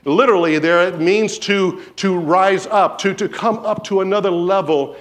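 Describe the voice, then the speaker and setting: A man's voice: sits high, normal speed. A man preaching a sermon in a church, telling stories and acting out what people said. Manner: animated storyteller, acts out quotes. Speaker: pastor. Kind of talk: sermon with storytelling. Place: church sanctuary.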